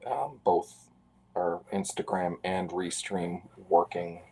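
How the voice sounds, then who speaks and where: A man's voice: sits low, takes it slowly, gravelly, warm tone, slightly rough, rough recording. A man in his forties from Canada, chatting casually and rambling with self-deprecating asides while he draws on a live stream. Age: 40s. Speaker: artist live-streaming a drawing.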